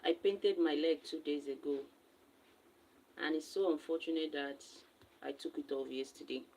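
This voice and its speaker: A woman's voice: low-pitched, steady tone, moderate pace, mellow, poor audio. A woman from Nigeria hosting a casual live stream, who has just woken up and reads viewer comments aloud and answers them directly. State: just woke up.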